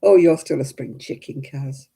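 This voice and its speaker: A woman in her seventies hosting a crafting livestream, chatting homely and warmly with viewers by name and laughing often. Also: extremely low pitch, moderate pace, vocal fry, breathy edge. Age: 70s. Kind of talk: casual chat reading out viewer comments.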